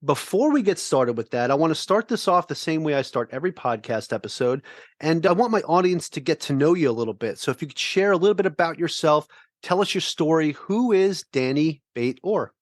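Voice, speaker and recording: A man's voice: on the high side, lively intonation, a little fast, dry recording, studio quality. A man hosting a finance podcast, enthusiastic and friendly as he interviews his guest. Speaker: podcast host.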